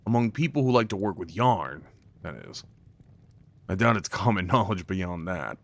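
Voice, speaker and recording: A man's voice: deep voice, lively intonation, moderate pace. A man playing an affectionate, playful boyfriend in an intimate ASMR roleplay, talking tenderly and rambling with light teasing. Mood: protesting, playful. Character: affectionate, playful, crafty boyfriend cuddling his partner.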